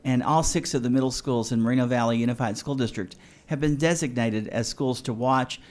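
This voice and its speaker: A man at a school board meeting announcing student awards in a halting way, with many fillers and restarts. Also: mid-range voice, darkish tone. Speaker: school district official presenting awards.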